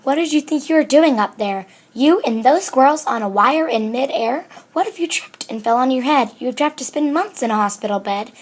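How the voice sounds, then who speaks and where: A woman's voice: sits high, animated, a little fast, hardly any pauses, voice pushed up. A woman voicing an exasperated, scolding mother cat in a storybook, with a wailing, groaning manner. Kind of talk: storybook character voice.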